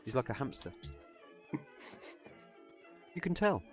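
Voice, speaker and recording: A man hosting a live chat stream, teasing his guests in a slow, sardonic way. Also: medium pitch, very animated, music in the background. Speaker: stream host. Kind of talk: casual group chat.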